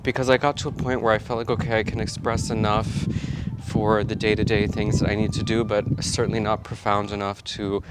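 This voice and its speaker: A man's voice: on the low side, little pitch movement, brisk, few pauses, very noisy. A man chatting casually and rambling a bit as he vlogs his day. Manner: chatty, rambling, self-talking. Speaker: vlogger. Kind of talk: casual vlog chat.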